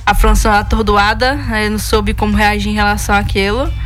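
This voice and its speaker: A woman's voice: mid-range voice, fairly even intonation, brisk, soft background music. A Brazilian woman co-hosting a sports radio show, talking casually and often restarting her sentences. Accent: Brazilian Portuguese. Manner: hesitant, repeats words and restarts often.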